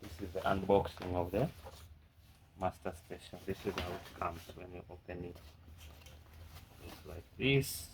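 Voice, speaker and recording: A man presenting a casual hands-on unboxing and setup tutorial, speaking haltingly in short phrases and murmuring as he works. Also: sits low, fairly even intonation. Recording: clear background noise with clicking.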